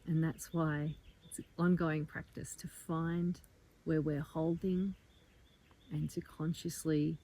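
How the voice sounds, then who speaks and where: Woman, lowish pitch, very soft-edged dark tone, calm, gentle, measured meditation guidance. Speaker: meditation teacher, guide. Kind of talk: guided meditation, soft slow instruction.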